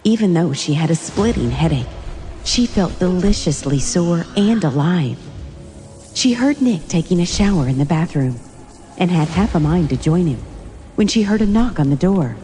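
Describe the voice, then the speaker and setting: A woman's voice: lowish voice, expressive, moderate pace, dark tone, faint music behind. A woman reading scripted story narration with suspenseful, dramatic phrasing.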